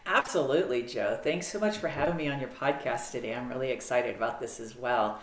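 A woman's voice: a bass voice, fairly quick. Woman telling her story on a video call. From United States. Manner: animated, clear, frequent ums. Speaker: podcast guest. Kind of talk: casual interview storytelling. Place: home office, video call. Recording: roomy video-call audio.

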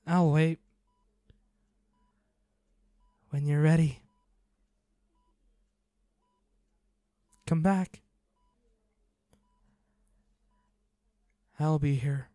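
Devoted young male hunter played by a man, audio drama: soft mellow tone, very unhurried, emotional and pleading, dry recording. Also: on the high side, steady tone, dry recording, lightly acted. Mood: pleading, loving. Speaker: audio roleplay voice actor. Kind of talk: intimate dramatic monologue to an injured lover.

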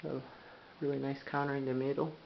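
Gravelly man on muffled camcorder audio. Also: mid-range voice, flat delivery, a little hiss or hum. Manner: halting, restarts often, plain speech. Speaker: home tour guide. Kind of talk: casual walkthrough narration. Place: inside a manufactured house.

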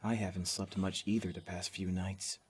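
A man's voice: low voice, flat delivery, moderate pace, husky. A man with an American accent playing an earnest, jittery, caring young survivor in a zombie apocalypse audio drama. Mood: tender, subdued. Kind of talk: fan audio drama acting.

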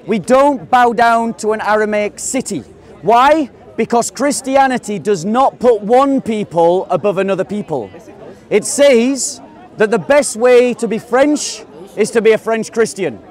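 English guy with a really high voice, arguing hard outdoors, firing questions, people murmuring around. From England. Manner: insistent, rapid-fire questioning. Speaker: street debater. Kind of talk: open-air debate. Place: outdoor public park.

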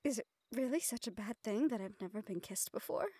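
A woman's voice: mid-range voice, animated, a little fast. A woman playing a shy, sweet, self-conscious young nephilim woman in love, speaking hesitantly and tenderly in a romantic audio roleplay. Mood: flustered. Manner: hesitant, tender, breathy hushed passages.

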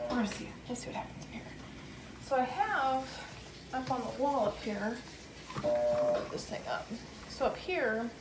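Middle aged woman vlogger just chatting thru a chore, echoey room, noisy recording. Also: a little high. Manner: informal, thinking aloud. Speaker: home vlogger. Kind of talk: casual chat while decorating. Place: small laundry room indoors at home. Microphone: camera or phone set a few feet away. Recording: roomy, distant mic, noisy background.

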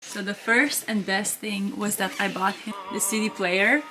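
A woman's voice: medium pitch, steady tone, a little slow, heavy background noise. A woman in her thirties from Romania, a native Romanian speaker, casually narrating a family vlog from her home. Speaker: vlogger.

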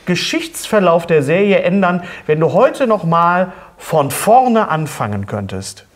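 Talk show host: middle-aged man, fairly high voice, chatty, halting delivery, casual interview chat. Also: sing-song. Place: small indoor studio set at a table.

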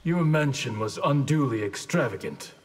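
A stern, solemn ninja clan leader in a fighting game. The voice is husky and mid-pitched, and every line is formal and honour-bound. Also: male voice, lively intonation, normal speed. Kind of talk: video game fight intro dialogue.

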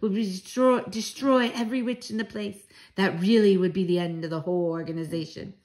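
A woman's voice: medium pitch, steady tone, a little fast, a little mellow, natural voice. A woman voicing a bold, proud old Norwegian grandmother in a storybook, speaking with assured, dramatic emphasis and affection. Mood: rousing, emphatic.

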